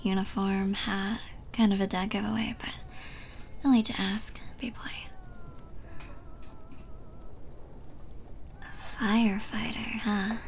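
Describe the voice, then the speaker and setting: A woman playing a flirty, teasing bartender in a soft, breathy ASMR roleplay, speaking close to the listener and often dropping to a near-whisper. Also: medium pitch, slight background noise, natural voice. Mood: flirty, curious. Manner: playful, giggly, unhurried flirting.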